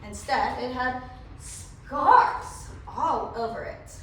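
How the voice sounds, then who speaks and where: A woman's voice: sits high, expressive, very slow, fairly bright tone. A woman performing scripted theatrical narration as a readers theatre performer. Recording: very echoey room, distant mic, faint noise.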